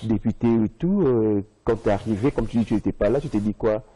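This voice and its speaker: A man presenting a live TV interview, lively and laughing, reading out fan questions. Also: lowish voice, fairly quick, a little hiss or hum.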